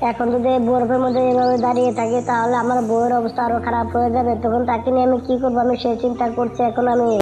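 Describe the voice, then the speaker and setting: A woman's voice: sits high, dark tone, close to an everyday voice. A woman voicing a small, worried, bossy talking bird in cartoon story dialogue, often pressing for agreement.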